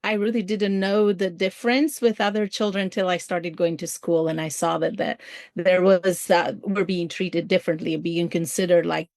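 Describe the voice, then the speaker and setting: Low-voiced woman with an Albanian accent. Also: flat delivery, normal speed, a little mellow, dry recording. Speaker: podcast guest, ministry field coordinator. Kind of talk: conversational personal storytelling in an interview.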